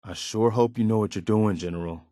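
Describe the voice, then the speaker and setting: Male actor as principled militia officer, video game companion, lowish pitch, sincere, plain-spoken, morally firm, dry studio sound. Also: a little fast, warm tone, dry recording, lightly acted. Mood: resigned, doubtful. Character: earnest, principled militia officer, loyal but easily disappointed.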